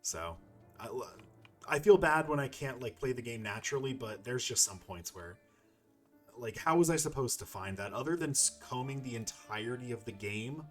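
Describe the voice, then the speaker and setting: A man hosts a video game playthrough in a middle-pitched voice. He talks casually, thinking aloud and rambling through his commentary. Faint music plays behind him. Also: animated, normal speed.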